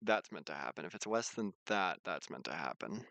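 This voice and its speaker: A man's voice: low voice, animated, a little fast, gravelly, a bit bright, no room sound. Playful, dry-sounding male game streamer. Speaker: streamer hosting a game playthrough. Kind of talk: casual gaming livestream chat, reading game text aloud.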